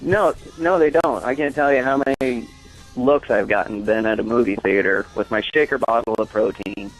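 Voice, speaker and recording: A man's voice: medium pitch, normal speed, soft background music, poor audio. A man giving a casual comic interview as an expert caller, matter-of-fact and opinionated.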